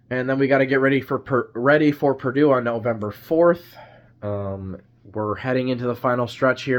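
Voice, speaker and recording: A man's voice: medium pitch, lo-fi sound. A young man with a stuffy, congested voice gives a rambling post-game recap as a sports fan vlogger, full of fillers and restarts. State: congested, has a cold.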